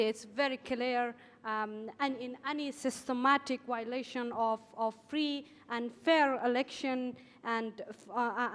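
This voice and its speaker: A woman from Afghanistan speaking on a conference panel, careful and halting, with many pauses and fillers. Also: a little high. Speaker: journalist and panelist. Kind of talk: panel discussion remarks. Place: large conference hall. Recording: long hall reverb.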